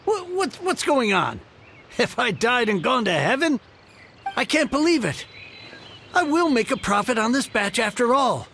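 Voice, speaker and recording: A man's voice: extremely high pitch, very animated, measured pace, vocal fry, sharp tone, a little hiss or hum, full character voice. A man playing an anxious, easily flustered merchant in a video game, with a stammering delivery. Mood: astonished. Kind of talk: video game character acting.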